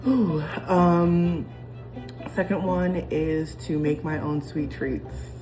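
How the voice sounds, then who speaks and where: A woman's voice: low-pitched, slow pace. A young woman vlogging in a casual, rambling, reflective way, with lots of ums and restarts as she talks to camera. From United States. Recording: music playing behind.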